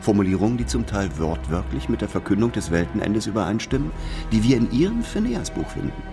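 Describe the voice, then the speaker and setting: Smug, low-voiced master detective. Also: male voice, big pitch swings, over music. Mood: coolly accusing. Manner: deliberate, superior, lecturing, needling. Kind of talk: German radio play dialogue.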